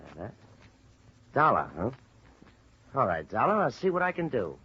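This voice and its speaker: A man's voice: medium pitch, huge pitch swings, moderate pace. A man acting a shifty, evasive American small-town tough, slangy and guarded, in a 1950s radio drama. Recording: muffled, noisy old radio broadcast.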